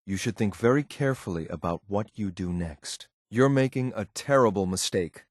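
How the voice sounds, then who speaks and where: A cold, dutiful male synth agent from a video game in a low-pitched voice. The delivery is clipped, formal and deadpan, measured throughout. The recording is very dry and close. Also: no echo. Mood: stern, detached. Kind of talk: scripted video game companion lines.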